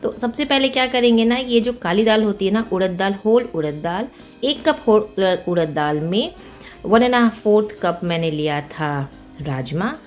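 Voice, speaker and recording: A woman's voice: medium pitch, animated, a little slow. A woman with an Indian accent narrating a recipe step by step as a cooking host, informally and with English words mixed in. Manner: mixes English words and phrases into her talk. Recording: music behind the voice. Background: background music.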